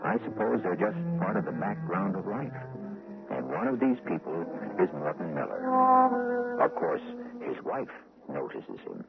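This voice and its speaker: A man's voice: lowish voice, huge pitch swings, unhurried, creaky. A man hosting and narrating an old radio mystery show, in a theatrical, musing way. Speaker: host and narrator. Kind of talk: radio drama host narration. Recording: tinny old radio broadcast with music.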